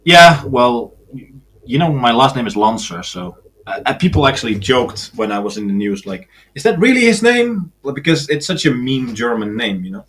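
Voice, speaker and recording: A man's voice: medium pitch, big pitch swings, moderate pace, fairly bright tone. A man, a guest in a casual podcast conversation, talking in a matter-of-fact way with sardonic asides.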